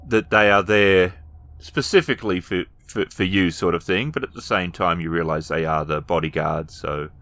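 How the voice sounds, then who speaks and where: A man narrating a tabletop game as game master, improvising descriptions in halting phrases. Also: low voice, fairly bright tone, no echo.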